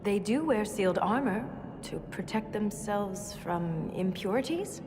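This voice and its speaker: A woman's voice: medium pitch, lively intonation, measured pace, background noise, lightly acted. A woman playing an earnest, curious dwarven scholar who ponders lost history in a video game cutscene. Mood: puzzled, questioning. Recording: loud music behind the voice. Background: loud music.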